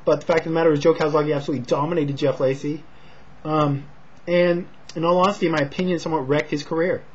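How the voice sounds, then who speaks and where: An American man giving a casual, low-key and hesitant fight preview, not loud and slightly short of breath. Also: steady tone, quick pace, slight background noise. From Sacramento, California, United States. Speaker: amateur boxing commentator and vlogger. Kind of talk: casual talk to camera, fight preview and prediction. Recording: dull, lo-fi home recording.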